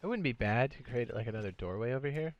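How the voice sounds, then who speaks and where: A man's voice: mid-range voice, quick pace, muffled recording. A man streaming a video game, talking casually and thinking aloud as he plays. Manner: relaxed, chatty, thinks aloud while playing. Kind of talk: casual live gameplay commentary.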